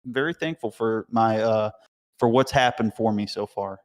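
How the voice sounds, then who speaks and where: A man's voice: on the low side, steady tone, normal speed. A young man from Alabama with a light Southern accent, talking earnestly in a casual live chat, with plenty of "like" and "you know", and a voice that sounds older than his years. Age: young adult. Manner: earnest, filler-heavy rambling. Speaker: chat participant.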